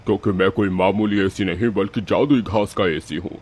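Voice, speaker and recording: A man's voice: deep voice, steady tone, normal speed, darkish tone, natural voice. A man voicing a generous, boastful magical tree and talking machine in a cartoon moral story, grand and showy. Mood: proud, boastful. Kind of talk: animated moral story dialogue. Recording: echoey hall reverb, music behind.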